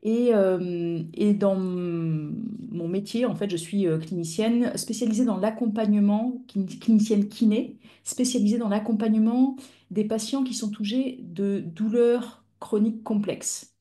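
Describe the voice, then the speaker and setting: A middle-aged woman speaks into a video-call mic as she hosts a live webinar for fellow clinicians. Her tone is mellow and relaxed. She explains things in a measured, patient way and pauses often to search for words. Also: mid-range voice. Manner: measured, explanatory, with frequent hesitations. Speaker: physiotherapist and pain-education instructor, webinar host.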